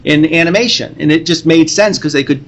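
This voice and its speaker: A man hosting a podcast, casually introducing a live reading in a halting, hesitant way. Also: a little high, lo-fi sound. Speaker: podcast host. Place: comic convention.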